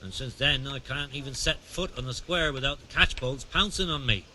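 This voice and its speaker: An actor playing a wily, aggrieved beggar and pickpocket in a video game, plaintive and streetwise. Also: male voice, mid-range voice, normal speed, sharp tone, slight background noise. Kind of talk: video game dialogue acting.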